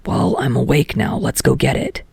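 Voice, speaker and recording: A woman acts out a surly teenage boy in a story reading. His voice drops low into a heavy, husky gravel. He grumbles curtly and dryly, blunt and short-tempered, very close and dry in the recording. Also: fairly even intonation, moderate pace, darkish tone, no echo, full character voice. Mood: gruff, insistent. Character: gruff, irritable, foul-mouthed teenage boy, blunt and dry.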